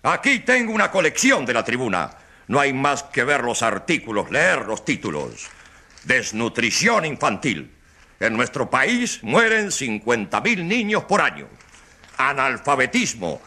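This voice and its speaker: A pompous populist politician on trial in a radio drama. He orates in sweeping, highly animated swings with a bright, forceful edge. His delivery is slow and grandiose, full of dramatic repetition and evasion. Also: breathy edge, full character voice. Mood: insinuating, scornful. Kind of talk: radio drama courtroom acting.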